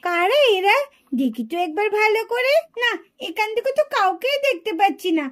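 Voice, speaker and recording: A woman's voice: very high voice, lively intonation, a little fast, fairly bright tone. A woman voicing a stubborn, curious little village girl in a children's puppet cartoon, with a whiny, insistent way of talking. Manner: whiny, insistent, repeats demands.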